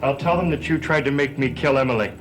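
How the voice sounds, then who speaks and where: A man's voice: medium pitch, quick pace, background noise, close to an everyday voice. An actor playing a defiant, troubled man in a gothic soap, speaking in an earnest, accusing way. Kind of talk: 1960s-70s gothic TV soap acting. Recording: old TV soundtrack under loud music.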